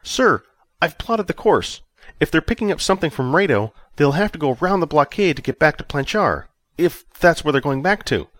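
A man's voice: a little high, animated, normal speed, a bit bright, no echo. A man voicing a dutiful ship's officer in an audio story, deferential and explanatory as he reports. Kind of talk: audio story character acting.

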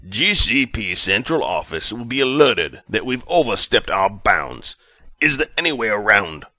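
Man playing a piercingly forceful, pompous ship commander. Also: lowish voice, big pitch swings, moderate pace, dry recording, heavily acted. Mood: impatient, commanding. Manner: imperious, menacing, pompous. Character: vengeful, self-important male ship commander. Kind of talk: audio story character acting.

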